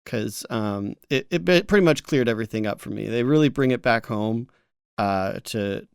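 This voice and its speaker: A man co-hosting a gaming news show in casual, informal, somewhat halting talk peppered with filler words. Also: medium pitch, expressive, creaky, clear, resonant tone, no room sound, clean studio sound. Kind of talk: casual podcast chat.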